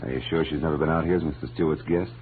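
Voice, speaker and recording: An American actor playing a hard-boiled, wisecracking private eye in a 1940s radio noir, sardonic and tough. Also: male voice, extremely low pitch, steady tone, very fast, slight background noise. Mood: probing. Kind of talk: 1940s radio noir acting and first-person narration. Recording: narrow-band 1940s radio recording with music.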